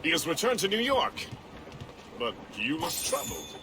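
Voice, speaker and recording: A folksy old first mate, highish lively voice, loud wind and waves all around. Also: male voice, measured pace. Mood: reassuring. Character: hearty, loyal older sailor, first mate. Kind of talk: video game cutscene acting. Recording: loud wind and sea noise.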